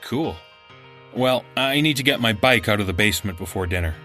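A man's voice: on the low side, expressive, brisk, pushed and bright, faint music behind. A man playing an earnest, stubborn teenage boy in a horror story audiobook. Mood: stubborn, grumpy. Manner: earnest, a little grumpy. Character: teenage boy, stubborn amateur sleuth turned terrified. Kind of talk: first-person horror story character.

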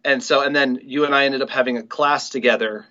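A man's voice: medium pitch, steady tone, brisk, sharp tone, highs cut off. A middle-aged man, a writer and director, telling stories casually as an interview guest.

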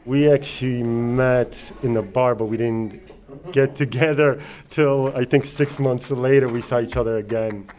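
A man's voice: medium pitch, steady tone, measured pace, a little mellow. A man from Poland chatting casually as a groom in an interview. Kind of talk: casual chat.

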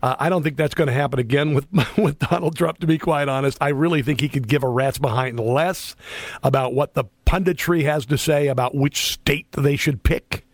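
A man's voice: a little high, normal speed, no echo. A man in his fifties hosting a talk radio show, rambling and chummy, laughing often. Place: radio studio. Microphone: studio microphone.

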